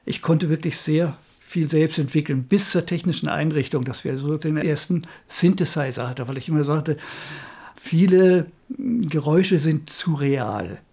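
An elderly man with a husky, somewhat high voice talks conversationally. He looks back on his work as in a relaxed interview at home. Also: a little slow. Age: 70s or older. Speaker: interviewee, retired radio drama director. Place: at home in an apartment.

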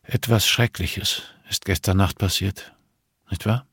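A man voicing a calm, grieving older nun in an audiobook, measured, solemn and quietly reproachful. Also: deep voice, husky, dry recording, studio quality, full character voice. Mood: quietly accusing.

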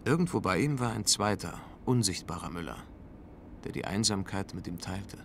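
A man's voice: sits low, expressive, moderate pace, creaky, a little hiss or hum. An actor playing a world-weary, lonely spaceman, telling his story in a radio play in a dry, reflective manner. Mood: somber. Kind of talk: first-person radio play narration.

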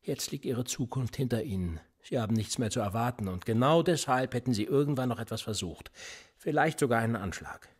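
An actor playing a sardonic, probing police interrogator in a naturalistic radio drama, speaking in a deliberate, controlled way. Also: male voice, medium pitch, lively intonation, husky. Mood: cold, menacing. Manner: deliberate, probing, dryly ironic.

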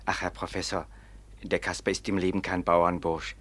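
A friendly prison warden who chats deferentially with a professor. His voice is low and plain. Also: level tone, moderate pace. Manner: warm, deferential, chatty.

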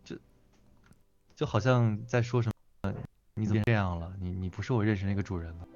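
A man's voice: fairly low pitch, fairly quick, darkish tone, muffled recording. A man joking as a guest in casual banter, often repeating short phrases. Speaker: interview guest, voice drama performer. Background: faint music.

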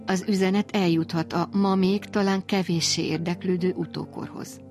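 Female radio presenter, scripted links, slightly low voice, soft music underneath. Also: steady tone. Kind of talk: scripted narration.

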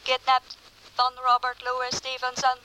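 A woman's voice: high voice, unhurried, clear, resonant tone. A woman voicing an impersonal book club computer that issues robotic announcements in a radio drama, on a noisy recording. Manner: robotic, monotone.

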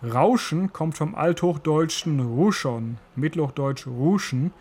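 A man narrating a scripted radio essay in a measured, lecturing way. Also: a little high, expressive, darkish tone, slightly rough.

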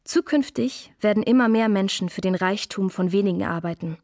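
A woman's voice: medium pitch, a little fast, darkish tone, dry recording, clean studio sound. A woman speaking scripted, declarative narration in a radio play.

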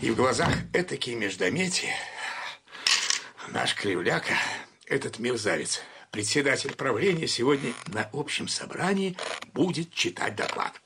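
An actor playing an irritable, overworked bank bookkeeper in a radio play, muttering bitterly and quick to anger. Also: male voice, medium pitch, very animated, sharp tone, breathy edge, heavily acted. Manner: irritable muttering, counting figures aloud. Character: grumbling, hot-tempered, overworked bank bookkeeper, misogynist. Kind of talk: theatrical radio play acting.

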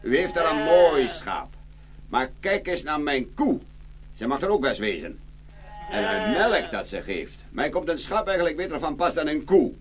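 A man's voice: a little high, big pitch swings, moderate pace. A man playing a cheerful farmer with swooping intonation. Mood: eager, friendly. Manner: hearty, chatty, exclaiming. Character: cheerful, naive farmer, contentedly bartering away his goods. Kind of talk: children's fairy-tale audio play acting.

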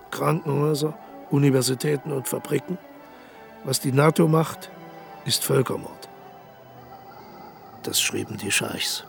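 An actor playing an aging, proud dictator in a radio drama, reading out a letter in an insistent, declamatory way. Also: male voice, a little high, creaky. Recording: faint music behind.

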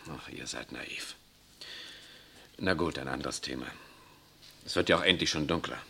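A man's voice: a bass voice, moderate pace, creaky, sharp tone, breathy edge, heavily acted. An actor playing an irritable, pessimistic, bookish husband and father in a 1960s radio drama, gloomy and curt.

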